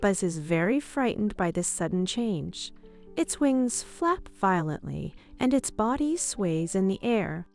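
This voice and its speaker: A woman narrates a bedtime story for young children in expressive read-aloud fashion. Her voice sits at a medium pitch for a woman, and music plays behind her. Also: measured pace.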